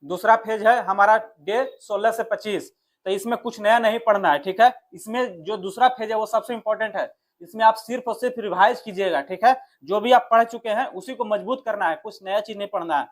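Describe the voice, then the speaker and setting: A man's voice: high-pitched, fairly quick. A man with a Bihar accent coaching informally for an exam, addressing listeners familiarly and often answering his own questions. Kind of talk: informal exam strategy lesson.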